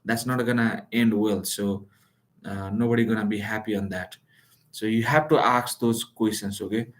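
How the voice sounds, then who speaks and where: A man's voice: lowish voice, steady tone, normal speed. A man with a delivery giving a live how-to lesson, talking loosely with many fillers and restarts. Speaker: online business instructor. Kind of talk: live-streamed how-to talk. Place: lives in Calgary.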